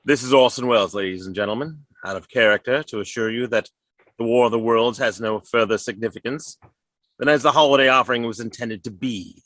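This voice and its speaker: An actor playing a genial radio director signing off a broadcast with a wry, playful manner. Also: male voice, medium pitch, brisk, fairly bright tone, faint music behind, close to an everyday voice. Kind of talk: 1930s radio acting.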